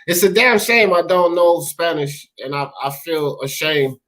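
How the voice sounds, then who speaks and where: American guy doing live sports talk, really high voice for a man, blunt, slangy, cusses a lot. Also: lively intonation, unhurried, pushed and bright. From United States. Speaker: talk show host.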